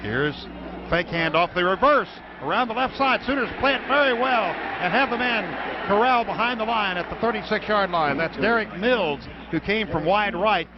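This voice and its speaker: High-pitched American football radio announcer, man. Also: animated, moderate pace, a crowd in the background. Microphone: broadcast booth microphone. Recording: dull radio broadcast, loud stadium background noise.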